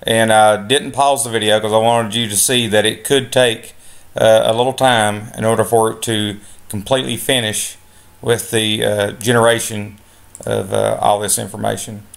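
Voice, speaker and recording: A man giving a step-by-step software lesson over a screen recording, relaxed, with many filler sounds. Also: lowish voice, moderate pace, vocal fry. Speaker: instructor.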